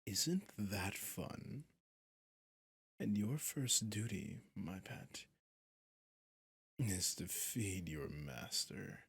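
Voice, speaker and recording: A man playing a sadistic, centuries-old vampire prince in an ASMR roleplay, slow and menacing, often dropping into a whisper. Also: big pitch swings, no room sound, full character voice. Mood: possessive, taunting. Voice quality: low, husky, gravelly.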